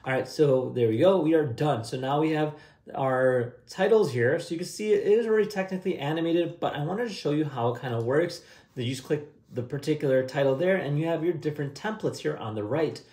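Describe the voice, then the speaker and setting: Casting: young male tutorial host, informal and upbeat, slightly high voice, roomy sound. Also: fairly quick, mellow. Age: young adult. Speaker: host of a software tutorial channel. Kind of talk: casual screen-share tutorial with review. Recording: roomy, echoey indoor sound.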